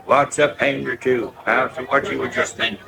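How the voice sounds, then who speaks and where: An actor playing a cheery, bumbling farm labourer, rustic and good-natured, in a naturalistic radio drama. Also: male voice, mid-range voice, flat delivery, moderate pace, creaky, crowd noise. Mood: bantering.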